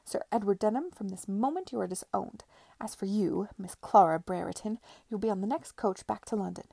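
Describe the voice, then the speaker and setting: Imperious old lady of rank, played by a woman narrator: formal, venomous, gravelly edge, period storybook. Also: medium pitch, animated, a little fast, warm tone, no room sound, studio quality. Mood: furious. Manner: haughty, cutting, formal. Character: imperious, venomous old aristocratic aunt with canny wit.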